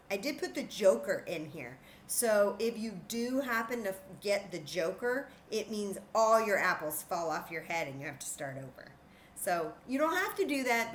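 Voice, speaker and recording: A woman's voice: medium pitch, a little hiss or hum. A woman teaching young children in an interactive demonstration, patient and encouraging, thinking aloud as she goes. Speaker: children's story time teacher.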